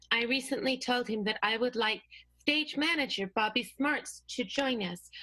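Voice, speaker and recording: A woman's voice: medium pitch, a bit bright, no echo, natural voice, poor audio. Woman playing a haughty movie star, dry sound. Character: imperious, self-absorbed, fame-hungry movie star. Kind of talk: improvised 1920s radio soap acting.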